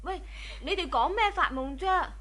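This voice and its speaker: Saucy young sister-in-law, radio drama, high-pitched, sharp bright tone, outspoken, teasing. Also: female voice, moderate pace. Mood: lively, curious. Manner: pert, teasing.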